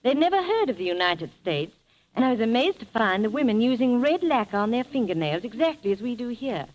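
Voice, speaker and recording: A woman's voice: on the high side, very animated, a little fast, a little mellow, highs cut off. A woman telling travel tales as a guest in a scripted 1930s radio interview, with a polished, formal delivery. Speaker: guest traveller and film-maker. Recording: faintly noisy 1930s radio broadcast.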